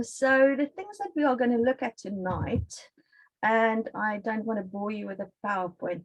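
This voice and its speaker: A woman's voice: a little high, poor audio. A woman with a South African accent, a nurse presenting on a video call webinar in a friendly, chatty storytelling way. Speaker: guest speaker, ICU nurse.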